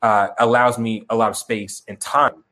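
A young man guesting on a call-in interview, talking casually, rambling and halting, with many restarts and fillers and some swearing. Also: mid-range voice, flat delivery, bright, forceful tone. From Chicago, grew up in Tucson. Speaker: musician guest. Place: remote video call.

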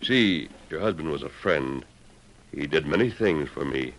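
Old radio western hero, really deep manly voice, swings a lot, commanding and gallant. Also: male voice, lively intonation, measured pace, a bit bright, full character voice. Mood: gentle, earnest. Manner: authoritative, declarative. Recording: vintage radio broadcast recording.

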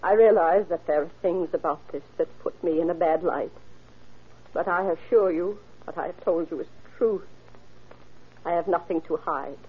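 Genteel older lady, dignified and polite, husky voice, tinny old radio drama sound. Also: lowish voice, moderate pace. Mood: quietly dignified. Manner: refined, courteous, gently protesting. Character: genteel, dignified gray-haired mother accused of murder. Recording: muffled, phone-like old radio recording.

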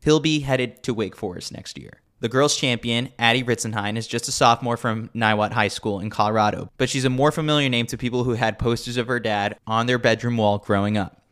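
A man's voice: mid-range voice, a little fast, hardly any pauses, fairly bright tone, clear, resonant tone. A man reading a scripted sports newsletter as a podcast host, with a wry, tongue-in-cheek manner.

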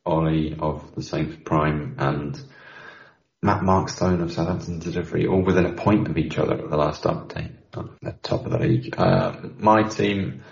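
A low-voiced man with a gravelly edge chats casually and jokes, often trailing off on fillers. His voice rings in a roomy space, and the recording sounds dull and lo-fi. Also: moderate pace. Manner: relaxed, joking, trailing fillers. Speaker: podcast co-host. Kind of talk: casual chat. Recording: echoey dull lo-fi.

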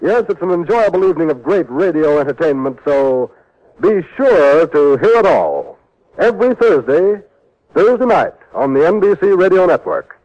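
A man's voice: a little high, very animated, moderate pace. A man announcing in dramatic, polished 1950s radio style, with a theatrical showman's delivery of scripted promotion. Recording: muffled vintage radio broadcast.

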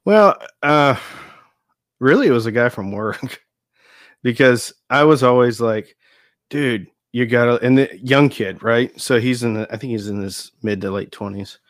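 A man's voice: mid-range voice, measured pace, dry recording, clean studio sound. A man in his forties chatting casually as a guest, joking and self-deprecating as he tells stories. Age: 40s. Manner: jokey, self-deprecating storyteller. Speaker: youtube channel host, guest. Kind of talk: casual chat.

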